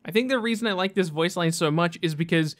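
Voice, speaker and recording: A man's voice: high-pitched, fast, fairly bright tone. A man hosting a gaming countdown video with casual, conversational, enthusiastic commentary.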